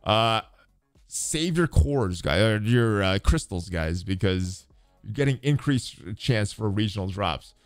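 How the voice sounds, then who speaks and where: Clear-voiced man doing casual game commentary. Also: on the low side, animated, slow, no echo, soft background music. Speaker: host of a gaming channel.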